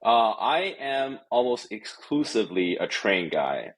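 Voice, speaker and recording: A man with a very low, husky voice talks as a podcast guest in a relaxed, conversational way. He often pauses with fillers and restarts his phrases while working out what to say. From Canada (Calgary). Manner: hesitant, many fillers and restarts. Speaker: guest, company executive. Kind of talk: casual interview conversation. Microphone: remote recording.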